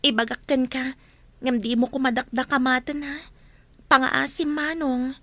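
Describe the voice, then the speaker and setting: An actress playing a gentle, troubled young runaway woman in an Ilocano radio drama. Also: sits high, flat delivery, normal speed, no room sound. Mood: anxious, pleading.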